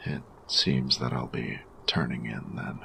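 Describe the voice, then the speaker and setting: A man playing a grumpy, workaholic artificer in an audio roleplay, tired and reserved, speaking formally and precisely with hesitant pauses in a gravelly voice. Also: steady tone, noisy background, full character voice. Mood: weary, awkward. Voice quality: gravelly, very low voice. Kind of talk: audio roleplay addressed to the listener.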